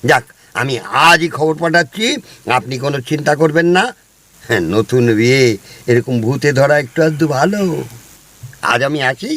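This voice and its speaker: A man's voice: on the high side, moderate pace, a bit bright, natural voice. A man playing a smug, superstitious busybody neighbour in a comic audio drama, emphatic and knowing in his insinuating advice. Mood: pushy assurance.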